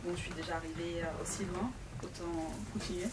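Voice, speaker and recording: A woman's voice: lowish voice, fairly even intonation. Earnest woman author, distant mic. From Lebanon. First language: Arabic. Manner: earnest, measured, pausing often. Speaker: author at a book event. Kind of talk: reflective personal talk. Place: bookshop. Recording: distant mic with background noise.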